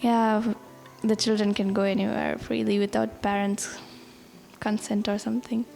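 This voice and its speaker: A woman's voice: medium pitch, level tone, moderate pace, gravelly, a little mellow, soft background music. A woman from India, a medical student answering interview questions in a plain, matter-of-fact way.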